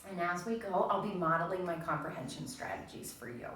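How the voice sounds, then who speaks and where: A woman's voice: on the low side, expressive, a little fast, a bit bright. A woman teacher giving a read-aloud reading lesson to young children, explaining things and addressing them directly. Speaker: primary school teacher. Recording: echoey room, distant mic, faint noise.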